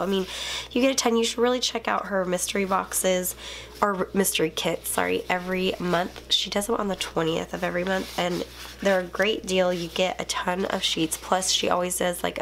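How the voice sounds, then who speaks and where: A woman's voice: medium pitch, vocal fry, a bit bright, a little hiss or hum. A young woman, a planner YouTuber and mom, chatting casually at home while filming her desk from overhead, a bit sniffly and rambling with lots of ums. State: sniffly, unwell. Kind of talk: casual plan-with-me chat. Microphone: overhead camera, hands only.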